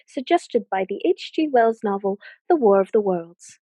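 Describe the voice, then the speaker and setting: A woman's voice: mid-range voice, expressive, normal speed, close to an everyday voice. A young woman playing a formal radio station announcer introducing a radio play. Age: young adult. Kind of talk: scripted 1930s radio introduction.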